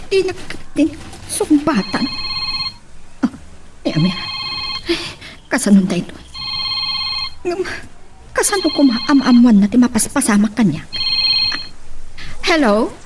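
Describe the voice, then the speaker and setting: A woman's voice: a little high, noisy background. A woman playing a worried young confidante in an Ilocano audio drama. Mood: concerned. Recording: slightly distant from the mic.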